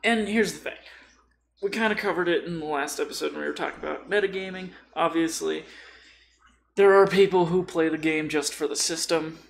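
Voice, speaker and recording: A man's voice: fairly high pitch, a little fast, gravelly, slight background noise. A man chatting casually about tabletop gaming in a joking, informal way with some mild swearing. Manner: joking, informal, mildly profane. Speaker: podcast host.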